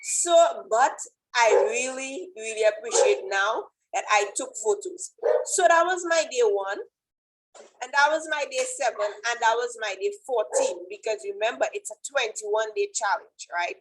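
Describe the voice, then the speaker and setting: Trini lady, kinda high voice, doing an informal wellness pep talk, keeps checking in with right?. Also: animated, unhurried, slight background noise, poor audio. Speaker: fitness coach giving a wellness presentation. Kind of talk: informal motivational presentation.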